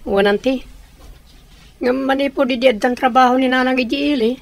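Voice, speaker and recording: A youngster sharing family troubles. The voice is high and recorded dry, in the style of a radio comedy drama. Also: female voice, fairly even intonation, moderate pace, no echo. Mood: confiding. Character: young daughter confiding family troubles to auntie.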